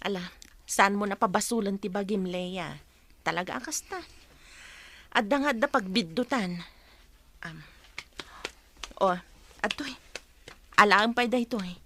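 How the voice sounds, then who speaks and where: A woman's voice: mid-range voice, measured pace, long pauses, fairly bright tone, slight background noise. A woman acting a concerned, supportive friend in a radio drama, consoling and helpful.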